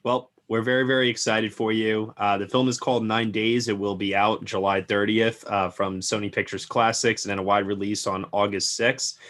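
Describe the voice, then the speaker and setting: A man's voice: lowish voice, level tone, moderate pace, hardly any pauses, a bit bright. A man hosting a film podcast interview, asking long, friendly questions in an engaged manner.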